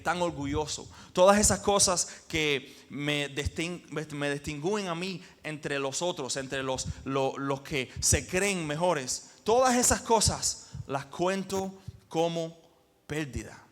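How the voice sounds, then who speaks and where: A male pastor preaches with conviction. His voice sits a little high, and he leans hard into key words before dropping low and quiet. The delivery is an impassioned sermon full of emphatic swells and pauses. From Cuba.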